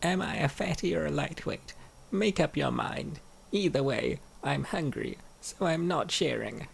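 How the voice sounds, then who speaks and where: Gravelly man acting out comic dialogue. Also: a little high, animated, measured pace, slight background noise. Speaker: comic dub voice actor. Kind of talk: acted reading of comic dialogue.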